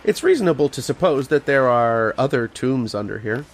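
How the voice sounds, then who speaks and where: A man's voice: mid-range voice, expressive, moderate pace. A man playing a learned, patient archaeologist who explains and narrates in an old-time radio horror drama style. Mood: patient, explanatory. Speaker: lead actor and narrator.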